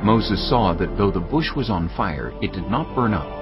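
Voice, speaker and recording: A deep-voiced man reads scripture in a measured, solemn delivery. His very low voice moves widely in pitch. Soft music plays under a slightly dull recording. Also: very animated. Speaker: narrator. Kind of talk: scripted Bible narration.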